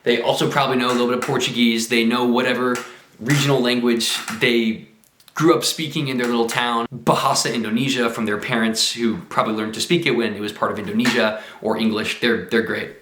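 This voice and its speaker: Man, travel vlogger, enthusiastic, chatty, mid-pitched voice, echoey room. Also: steady tone, a little fast, background noise. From United States. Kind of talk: casual travel vlog talk to camera. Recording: roomy echo.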